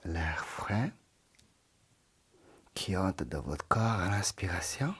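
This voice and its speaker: A man leading a guided yoga nidra meditation in a soft, calm voice that often drops into a half-whisper. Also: low-pitched, slow pace, breathy edge.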